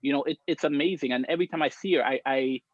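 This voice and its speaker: A man's voice: medium pitch, fast, no echo, highs cut off. A man born in Toronto and raised in the West Indies, speaking enthusiastically as a panelist on a video call and often saying "you know". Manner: warm, enthusiastic, with many fillers.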